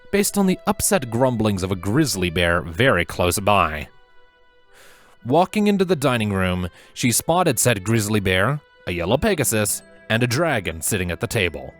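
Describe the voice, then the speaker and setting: A man reading a scripted story aloud as the narrator. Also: lowish voice. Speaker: fan fiction narrator.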